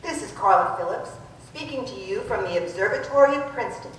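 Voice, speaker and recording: A woman's voice: mid-range voice, lively intonation, brisk, natural voice. Woman doing an old radio play reporter lady, earnest n formal, way off mic w/ hiss. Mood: formal, serious. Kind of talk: old-time radio news drama acting. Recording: echoey hall from far off, noisy.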